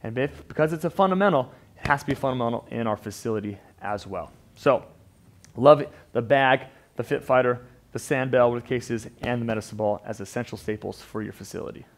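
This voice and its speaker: Brief: man, 30s, fitness educator in a studio gym, mid-pitched voice, energetic and emphatic, repeats words as he restarts. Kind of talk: unscripted equipment walkthrough to camera. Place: indoor gym studio with turf floor.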